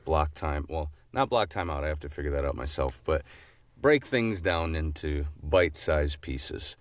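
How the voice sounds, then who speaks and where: Deep-voiced man joking in casual chat. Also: expressive, normal speed, no echo. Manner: joking, informal, self-deprecating. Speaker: podcast co-host.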